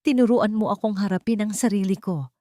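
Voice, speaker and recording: A woman's voice: medium pitch, fairly even intonation, brisk, dark tone, no room sound, pitched above the performer's own voice, close to an everyday voice. A woman voicing a devoted, earnest father in an audiobook love story. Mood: tender, earnest. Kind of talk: audiobook character dialogue.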